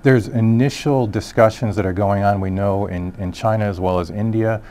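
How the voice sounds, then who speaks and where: A man giving explanatory interview answers as an industry expert, haltingly rephrasing as he goes. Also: fairly low pitch, steady tone, a little mellow, a little hiss or hum. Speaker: industry expert, solutions director.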